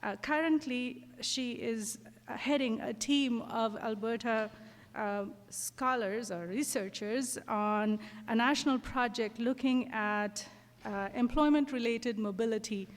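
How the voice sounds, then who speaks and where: Hesitant woman facilitator in a hall. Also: fairly high pitch. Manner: hesitant, many fillers. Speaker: session facilitator. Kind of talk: conference introduction. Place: lecture hall.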